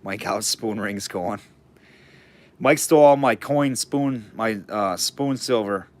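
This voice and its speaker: A man running a live online auction, calling bids and chatting loosely about the items, his throat getting hoarse. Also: lowish voice, takes it slowly, a little mellow. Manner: chatty, rambling asides between bid calls.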